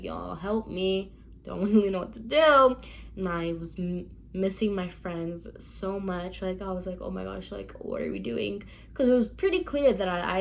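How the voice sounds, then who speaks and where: A woman's voice: medium pitch, darkish tone. A young American woman talks straight to her viewers, rambling and friendly, with lots of "like" and "y'all". Her voice sits mid-range for a woman, with a faint hum of room ambience behind her. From United States. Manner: chatty, addresses viewers as y'all, many fillers. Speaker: vlogger. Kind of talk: casual storytelling vlog.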